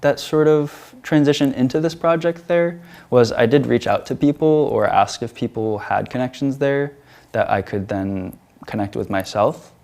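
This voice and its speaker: A man's voice: mid-range voice, moderate pace, vocal fry, darkish tone. A young man from the United States, a featured artist answering interview questions in a hesitant way, with many fillers and restarts.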